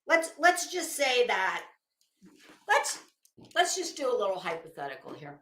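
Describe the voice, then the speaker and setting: A woman with a high voice, recorded in an echoey room. She delivers a dramatic reading as online commentary, mixing formal text with mocking asides. Her manner is blunt, emphatic and openly sarcastic. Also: big pitch swings, measured pace, a bit bright, a little hiss or hum. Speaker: online commentator reading a legal motion aloud.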